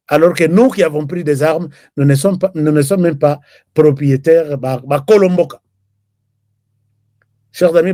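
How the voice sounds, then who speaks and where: Casting: man, talk-show host, slightly high voice, dry close sound, loose chatty delivery. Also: a little mellow, no echo. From DR Congo. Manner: chatty, switches between French and Lingala, reads viewer comments. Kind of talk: live political commentary show.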